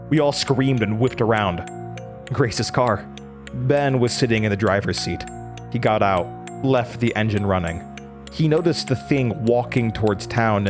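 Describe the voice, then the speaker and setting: Young male student narrator for horror audio drama played by a male actor: slightly low pitch, haunted, dramatic delivery, clear music bed. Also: close to an everyday voice. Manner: dramatic, haunted, stammers under stress. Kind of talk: horror audio drama first-person narration, acting out dialogue.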